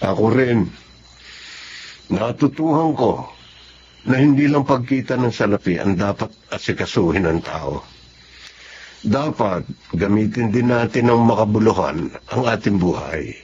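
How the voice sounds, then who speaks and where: A man's voice: lowish voice, lively intonation, a little slow, pauses often, a little mellow, highs cut off, a little hiss or hum. An actor in a Filipino radio drama playing a caring, reflective doctor who is a friend of his patient. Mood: friendly, reflective.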